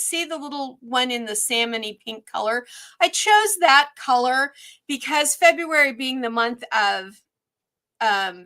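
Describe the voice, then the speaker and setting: A slightly hoarse woman hosting a live online quilting workshop, chatty and upbeat, with frequent ums. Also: a little high, bright, forceful tone.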